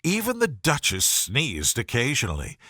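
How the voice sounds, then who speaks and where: A man reading a scripted children's bedtime story aloud in a measured, expressive storytelling manner. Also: medium pitch, no echo, studio quality. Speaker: audiobook reader.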